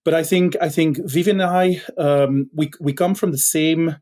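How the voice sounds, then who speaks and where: A middle-aged Belgian man speaks as a podcast guest in a mellow, dark tone. He talks in a halting way, full of fillers and restarts, as he tells a story. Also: a little high, fairly even intonation. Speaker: podcast guest, company founder.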